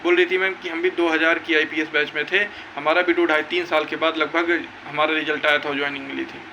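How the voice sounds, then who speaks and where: A man's voice: fairly high pitch, little pitch movement, a little fast, gravelly, bright, forceful tone, a little hiss or hum, poor audio. A young man gives an informal update to viewers in an emphatic, insistent way, often repeating his points. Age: young adult. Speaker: exam-news video creator.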